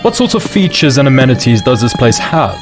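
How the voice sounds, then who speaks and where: A man's voice: medium pitch, big pitch swings, fairly quick, music in the background, natural voice. A man playing a wealthy, entitled tech mogul with a casual, probing way of talking in an audio drama. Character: wealthy, demanding male tech mogul shopping for a bunker.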